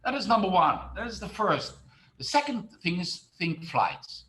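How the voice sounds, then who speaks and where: A man's voice: high-pitched, very animated, normal speed, a bit bright. A middle-aged man from the Netherlands, a tourism marketing expert who speaks with many fillers and restarts in an online presentation.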